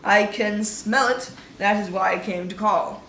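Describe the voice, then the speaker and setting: A woman's voice: mid-range voice, measured pace, fairly bright tone, voice pushed up. A woman doing a smug toothless old toad gent. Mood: wheedling. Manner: wheedling, repetitive, smug. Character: big, wet, toothless old male toad, cheerfully pushy. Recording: roomy with faint hiss.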